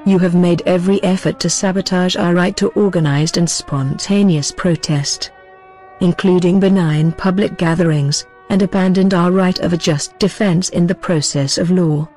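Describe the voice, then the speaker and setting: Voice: mid-range voice, steady tone, moderate pace, a little mellow. A synthetic-sounding voice of unclear sex delivers a scripted political address in a formal, solemn, declarative manner, as spokesperson for an activist group. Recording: voice over background music.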